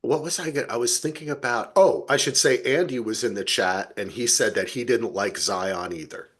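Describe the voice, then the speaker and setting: A man with an American accent hosting a casual TV discussion, sweary and quick to act out imagined dialogue. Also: medium pitch, fairly bright tone. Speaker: podcast host. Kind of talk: casual chat about television.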